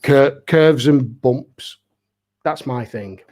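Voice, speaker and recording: A man's voice: medium pitch, very slow, darkish tone. A man chatting casually as a pantomime performer on a panel, speaking emphatically in short bursts.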